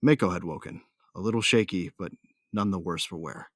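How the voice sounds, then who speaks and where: A man's voice: low voice, brisk, dry recording, studio quality. An actor playing a brooding, hot-tempered male bounty hunter with a tough, slangy manner, narrating an audio drama in the first person.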